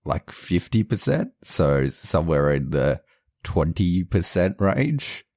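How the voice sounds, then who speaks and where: A deep, lively man co-hosting a podcast. He jokes, gives firm opinions and laughs a lot. His voice is dry and close, with almost no room sound. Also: slow, no room sound. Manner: joking, opinionated, laughs often. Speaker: podcast co-host. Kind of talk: casual chat.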